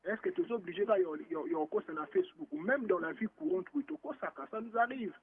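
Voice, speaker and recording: Man on a phone-in, high lively voice, gravelly, rambling, tinny phone line. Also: racing, slight background noise. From Morocco. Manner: rambling, restarts often, mixes French and Lingala. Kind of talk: casual phone-in chat.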